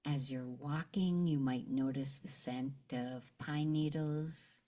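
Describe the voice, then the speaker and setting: A woman leading a guided meditation and visualization in a gentle, unhurried manner with long pauses. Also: a bass voice, steady tone.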